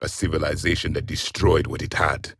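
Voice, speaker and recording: Casting: stern alien warrior, game character, disdainful formal delivery, slightly husky, very dry studio sound. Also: male voice, extremely low pitch, level tone, fairly bright tone, no echo, heavily acted. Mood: haughty, grave. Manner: haughty, disdainful, formal measured speech. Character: proud, stern alien warrior, last of an ancient race. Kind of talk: video game character voice lines.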